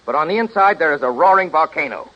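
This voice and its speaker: A man's voice: on the high side, animated, quick pace, sharp tone. An actor playing a self-important, bombastic Hollywood studio boss in a 1930s radio comedy, domineering like a salesman. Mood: pitching. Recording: dull vintage 1930s radio recording.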